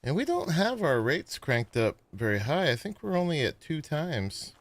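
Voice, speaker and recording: A man casually chatting to viewers as he plays and builds in a survival game, with a sleepy voice and a relaxed, rambling manner. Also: a little high, moderate pace, no echo. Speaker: gaming streamer. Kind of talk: casual gameplay commentary.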